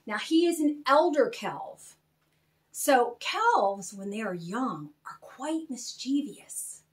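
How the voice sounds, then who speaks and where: A woman reading her own children's story aloud and chatting to young listeners in an animated, expressive manner. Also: fairly high pitch. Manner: animated, expressive, spells out names slowly.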